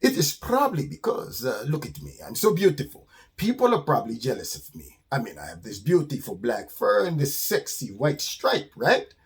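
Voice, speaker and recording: A man's voice: medium pitch, huge pitch swings, normal speed. Man playing a pompous, vain little skunk. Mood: boastful, flustered. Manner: pompous, grandly self-admiring, hesitant when questioned. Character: pudgy vain male skunk, boastful but kind.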